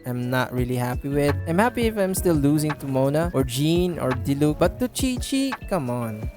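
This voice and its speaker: A man's voice: fairly high pitch, big pitch swings, moderate pace. A man doing casual let's play commentary, chatting and reacting aloud to the game. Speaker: gaming streamer. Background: music.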